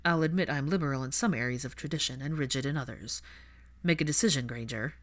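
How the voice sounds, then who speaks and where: A woman narrator voicing a patient, wry young aristocratic father in a measured, dry way for a fan fiction reading. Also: a bass voice, pitched below the performer's own voice.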